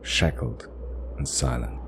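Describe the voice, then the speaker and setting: A man's voice: takes it slowly, background noise. A man narrating a fantasy audio drama in a scripted, dramatic storytelling style.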